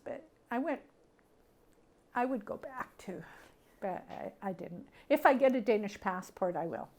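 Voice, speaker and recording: A middle-aged woman from Canada talks in an ordinary mid-pitched voice. She reminisces informally, as if being interviewed. Her delivery is conversational and halting, as she thinks aloud. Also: creaky, darkish tone. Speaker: interviewee sharing family memories. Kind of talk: casual personal reminiscence.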